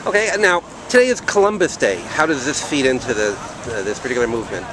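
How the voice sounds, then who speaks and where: A man interviewing protesters on the street, asking brief, calm questions. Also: mid-range voice, quick pace. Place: outdoors on a city plaza by traffic. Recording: outdoor street noise.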